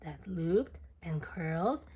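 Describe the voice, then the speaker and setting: A woman reading a children's book aloud as expressive rhyming narration, deliberate and singsong, drawing out words. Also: lowish voice, a little hiss or hum.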